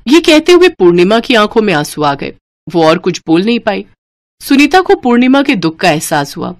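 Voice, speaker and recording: A female storyteller reads a scripted moral tale. Her voice sits at a medium pitch, and she delivers it as narration for listeners following a story. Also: very animated, normal speed.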